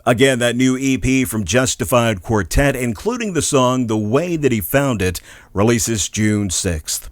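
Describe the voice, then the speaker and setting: A man in an average pitch hosts a music news show, reading scripted updates in an upbeat, friendly manner. His voice is recorded close and dry. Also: a little slow, dry recording.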